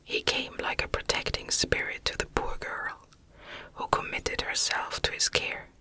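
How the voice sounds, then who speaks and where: A woman reading a classic novel as ASMR narration in a hushed, unhurried, measured whisper. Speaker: audiobook reader.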